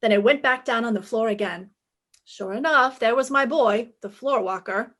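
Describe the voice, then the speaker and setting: A woman's voice: fairly high pitch, normal speed, a bit bright. A woman playing a sassy, confident private detective in a 1950s-style radio drama, breezy, wry and commanding. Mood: wry narrating.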